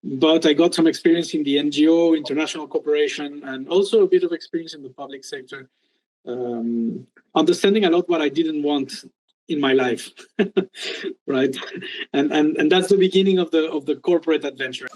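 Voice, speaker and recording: A middle-aged man from Peru, a guest telling his career story in an interview, with many pauses and restarts. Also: fairly high pitch, darkish tone, poor audio. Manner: hesitant, many ums and restarts. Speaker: guest entrepreneur. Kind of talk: casual interview talk.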